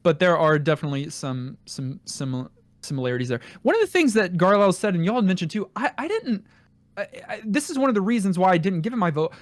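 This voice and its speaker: Male podcast host, on the higher side, conversational, reads listener mail, dry recording. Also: expressive, fast, no echo. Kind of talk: casual podcast talk, reading listener comments aloud.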